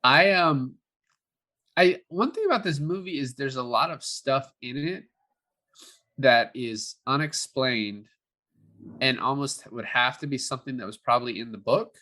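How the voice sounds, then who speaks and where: A man's voice: medium pitch, a little slow, pushed and bright. A man co-hosting a podcast in a casual film review chat, hedging as he works through his thoughts. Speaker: podcast co-host.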